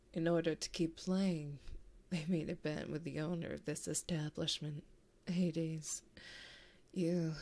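A woman voicing a flirty, possessive, taunting demon in a breathy whisper for an ASMR roleplay, speaking intimately close to a binaural microphone. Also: on the low side, a little mellow. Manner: slow, teasing, intimate.

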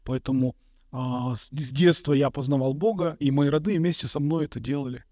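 A man in his thirties, an Orthodox monk from Kazakhstan, answering interview questions slowly and thoughtfully, with many pauses, into a studio mic. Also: medium pitch, warm tone, no room sound. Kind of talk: calm reflective interview answers. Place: bright indoor room, interview set. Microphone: studio mic on a boom in front of him.

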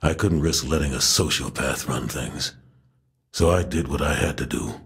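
An actor playing a weary, regretful ex-gang leader in middle age, speaking gravely and slowly in a game audio log. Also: male voice, heavily acted. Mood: regretful, grim. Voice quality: very deep, gravelly, husky.

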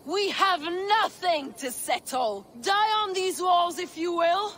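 A woman voicing a cold, taunting villain commander in a video game, measured, haughty and menacing. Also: very high voice, fairly bright tone, a little hiss or hum, heavily acted. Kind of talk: dramatic video game acting.

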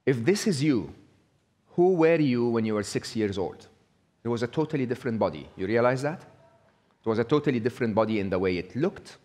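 A man's voice: medium pitch, animated, fairly quick, a little mellow. A middle-aged man giving a live talk, conversational, checking in with the audience with a frequent "okay?". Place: small indoor venue with brick wall.

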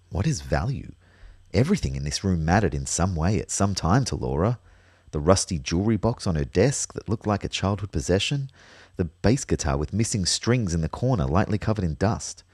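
An actor playing a reflective, concerned young man, telling his story in a measured, understated way in an audio drama. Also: sits low, a little mellow, breathy edge, dry recording, studio quality, exaggerated voice. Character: reflective, concerned young boyfriend, a fitness fanatic. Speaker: narrator and lead character. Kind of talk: first-person audio drama narration and dialogue.

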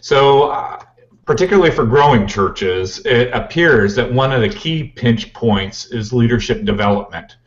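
A man in his forties hosting an interview from a home office over a video call, asking measured, plain questions. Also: medium pitch. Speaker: host. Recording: dull lo-fi webcam audio.

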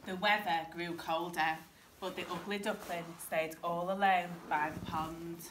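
A woman in her thirties reading a picture book aloud as a teacher, in an expressive storytelling style. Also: lowish voice, unhurried, background noise. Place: primary school classroom, indoors. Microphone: camera a short distance away.

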